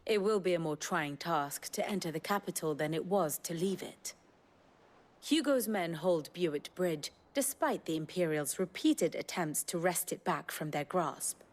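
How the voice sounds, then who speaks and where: A woman's voice: fairly low pitch, lightly acted. An actress playing an elegant woman mentor and strategist in a video game, speaking in an erudite, formal and archly theatrical way. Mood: wry, instructive. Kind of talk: dramatic expository game dialogue.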